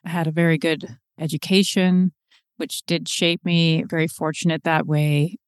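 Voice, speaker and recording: Woman from Seattle, low voice, dry close sound, reflective storytelling, podcast guest. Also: level tone, normal speed, no echo. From Seattle, USA. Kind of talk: conversational interview.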